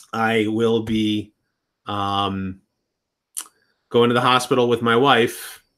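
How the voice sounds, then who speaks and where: A man's voice: medium pitch, fairly bright tone. Rambling man in his thirties. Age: 30s. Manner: rambling, restarts sentences. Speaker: live streamer. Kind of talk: casual live-stream chat.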